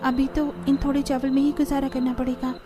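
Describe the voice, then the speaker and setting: A woman's voice: high-pitched, level tone, fairly quick, music in the background. A woman reading scripted narration for a cartoon family story, as the storyteller.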